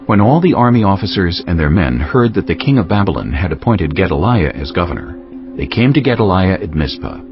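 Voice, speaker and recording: A very low-voiced man narrates scripture solemnly and deliberately, with lively, dramatic rises and falls. Clear music plays beneath him, and the recording is a little dull. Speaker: audiobook narrator. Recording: dull lo-fi audio, music bed. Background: prominent background music.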